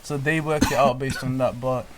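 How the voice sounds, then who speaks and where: A man's voice: medium pitch, steady tone, normal speed, very noisy. A man co-hosting a casual chat, giving earnest advice to trainee teachers and often repeating words as he thinks. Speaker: podcast co-host.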